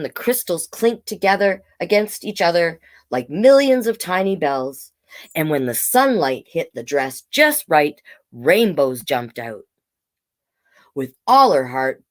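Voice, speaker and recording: A woman reads a children's storybook at an unhurried pace, with a widely swinging melody. Her voice sits a little low, and she is expressive and coaxing as she hosts storytime. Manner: expressive, coaxing, talking to young listeners. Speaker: storytime host, drag king performer. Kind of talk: children's storybook read-aloud with asides.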